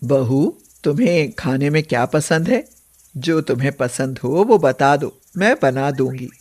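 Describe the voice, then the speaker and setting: A woman's voice: rumbling low, expressive, measured pace, a little mellow, slightly rough, voice pushed down, heavily acted. A woman voicing a middle-aged, pious and suspicious mother-in-law with a commanding manner in a moral story. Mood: pleased, welcoming. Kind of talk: acted moral story dialogue.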